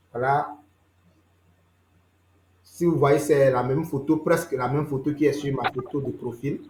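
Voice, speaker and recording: A man's voice: medium pitch, echoey room, a little hiss or hum. Beninese man teaching over a video call. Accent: Beninese French. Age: young adult. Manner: explanatory, repeats "d'accord?" to check listeners. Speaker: trainer. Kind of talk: online training session.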